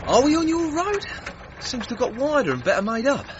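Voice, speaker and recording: High-voiced working-class British boxer. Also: male voice, very animated, a little fast. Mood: curious. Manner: colloquial, terse. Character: plain-spoken working-class British boxer, young, wary, 1930s. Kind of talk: radio drama acting. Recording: dull radio recording, faint background noise.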